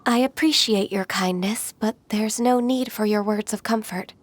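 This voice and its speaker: A woman voicing a gentle, wistful, half-immortal secretary in a video game, speaking politely and formally. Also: medium pitch, normal speed, close to an everyday voice. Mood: resigned sadness.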